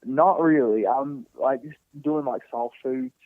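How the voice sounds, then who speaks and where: A man in his twenties, a singer being interviewed, talking casually and softly with many fillers and restarts. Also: medium pitch, slow. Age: 20s. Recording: tinny phone-like sound, dry.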